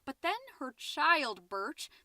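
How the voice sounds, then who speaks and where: A woman's voice: high-pitched, lively intonation, slow, pushed and bright, no echo, full character voice. A woman playing a gossipy, petty, easily flustered middle-aged American suburban mom, chattily hosting her podcast in a scripted comedic monologue. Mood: rambling, distracted. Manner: chatty, indignant, with muttered asides. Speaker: podcast host.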